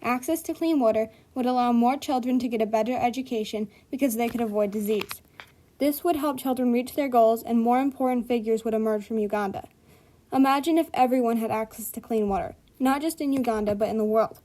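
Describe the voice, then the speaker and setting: Woman, reading a school report, earnest, careful, fairly high voice. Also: fairly quick, dark tone. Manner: careful, earnest reading in first person as a character. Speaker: student presenter.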